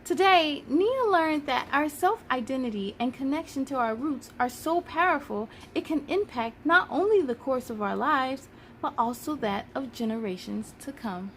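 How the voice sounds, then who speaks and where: A woman hosting a children's read-aloud, reading expressively and speaking to young viewers in an animated, emphatic way. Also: high voice, moderate pace, a little hiss or hum.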